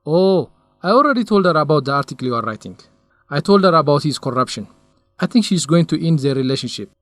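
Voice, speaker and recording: Higher-voiced caring young man. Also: big pitch swings, dry recording, soft background music. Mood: attentive. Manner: deliberate, simply phrased, sympathetic. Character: caring, concerned young male research companion and friend. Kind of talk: English-learning radio drama acting.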